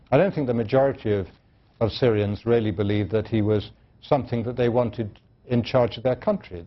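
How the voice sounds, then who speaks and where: A man with a low voice answers as a studio guest in a television interview. He speaks in a measured way and often restarts his sentences. The broadcast audio sounds dull and lo-fi. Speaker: studio guest, terrorism analyst.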